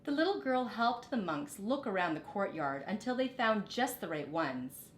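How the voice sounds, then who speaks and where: A middle-aged woman reading a storybook aloud like a teacher, deliberate and expressive, with short explanatory asides. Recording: roomy echo, faint rumbling background noise.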